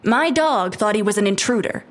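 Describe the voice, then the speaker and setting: An actress playing a defiant neighbor who is sarcastic and defensive, in an audio drama. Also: female voice, mid-range voice, animated, normal speed, background noise, close to an everyday voice. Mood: defensive, irritated. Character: defiant, sharp-tongued female neighbor and dog owner.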